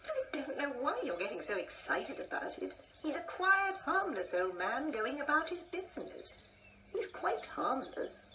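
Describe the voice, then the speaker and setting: High, very animated prim wife. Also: female voice, a little slow, a little hiss or hum, full character voice, poor audio. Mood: exasperated. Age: older. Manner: prim, questioning, chiding. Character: prim, patient, gently exasperated older wife. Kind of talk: radio play acting.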